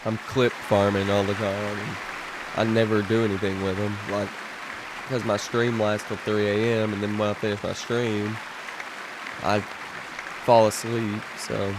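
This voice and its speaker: Laid-back, low-voiced male streamer. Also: level tone, unhurried, a crowd in the background, background noise. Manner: rambling, restarts a lot, chatty with viewers. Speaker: game streamer. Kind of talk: casual stream chat. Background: game music.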